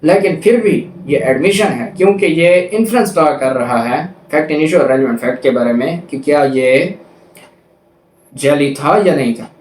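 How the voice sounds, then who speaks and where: A man's voice: on the high side, normal speed, a little mellow. A man with a Pakistani accent giving an explanatory law lecture, didactic, restating points and mixing in English legal terms. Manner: didactic, repeats and rephrases points; switches between Urdu and English legal terms. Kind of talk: explanatory lecture with examples, reading out legal text. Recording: echoey, roomy sound.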